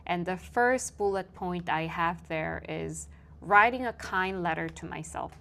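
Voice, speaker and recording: Slow, low-voiced Korean-accented woman. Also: vocal fry. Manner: thoughtful, soft-spoken, with frequent fillers and light laughs. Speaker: vlogger. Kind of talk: reflective personal monologue.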